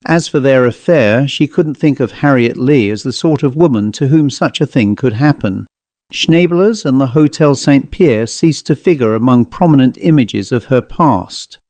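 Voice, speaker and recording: A man reads a classic ghost story aloud with measured, deliberate pacing. His voice is mellow and dark. The recording is dry and close, with no room sound. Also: mid-range voice, lively intonation, no room sound. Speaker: audiobook narrator. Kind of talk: scripted narration of a ghost story.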